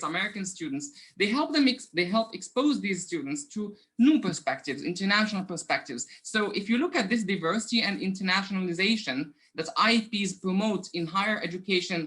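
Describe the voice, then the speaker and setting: Moroccan-accented male academic presenting remotely, bright clear voice, earnest and explanatory, lots of fillers. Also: high-pitched. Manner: earnest, explanatory, frequent "um" fillers and self-corrections. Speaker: conference presenter, assistant professor.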